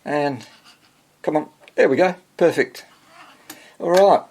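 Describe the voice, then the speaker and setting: A man giving casual hands-on repair narration, haltingly muttering to himself as he works and thinks aloud. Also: fairly high pitch, a little mellow, slight background noise. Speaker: hobbyist radio restorer, presenter.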